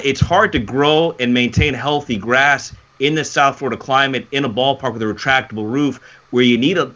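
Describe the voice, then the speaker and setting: Casting: male sports co-host, mid-pitched forceful voice, emphatic and fluent explainer, on a somewhat muffled recording. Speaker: sports talk show co-host and broadcaster. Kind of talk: sports commentary talk.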